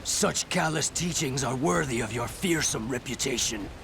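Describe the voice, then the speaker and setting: A man's voice: mid-range voice, lively intonation, normal speed, creaky, background noise. An actor playing a menacing, commanding captain in a video game, imperious and threatening as he hunts a noble. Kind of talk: dramatic video game villain acting. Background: music.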